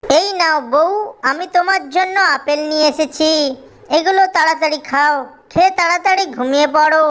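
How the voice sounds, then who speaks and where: Man putting on a high-pitched eager young male bird. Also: moderate pace, fairly bright tone. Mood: coaxing.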